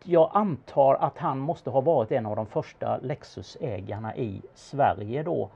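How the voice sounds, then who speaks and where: A man giving casual expert commentary in a conversation, speaking haltingly with pauses in mid-sentence. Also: mid-range voice, gravelly, breathy edge, muffled recording. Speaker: car expert answering questions about an auction car.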